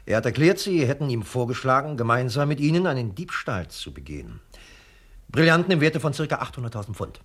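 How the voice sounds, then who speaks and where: An actor playing a politely firm police sergeant, formal and clipped, in a radio crime comedy. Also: male voice, mid-range voice, sing-song, fairly quick, slightly rough. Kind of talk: 1970s German radio crime comedy acting.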